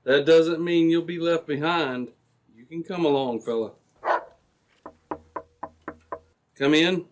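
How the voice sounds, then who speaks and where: A man playing a steady, brave Mountie sergeant in an old-time radio adventure, brisk, assured and commanding. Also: on the high side, natural voice. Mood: assured, kindly.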